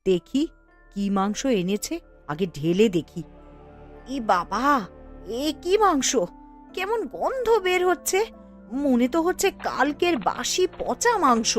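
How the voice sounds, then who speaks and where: A woman playing a scolding, fussing village wife and mother in a Bengali animated cartoon, with a nagging, exclamatory delivery. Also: fairly high pitch, slow pace, pauses often, faint music behind. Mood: disgusted, scolding.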